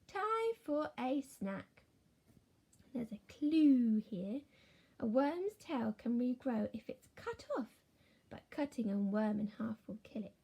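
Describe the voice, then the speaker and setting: A gentle woman teacher reading a storybook aloud to young children, slipping into soft whispered asides. Her voice sits a little high, with a gravelly, husky texture and a mellow, dark tone. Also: a little slow.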